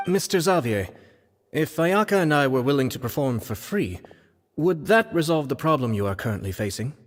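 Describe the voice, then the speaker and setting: A man voicing a courteous, diplomatic young nobleman in a video game, formal and measured. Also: a little high, sing-song, big-room sound, a little hiss or hum. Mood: courteous, sincere. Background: music.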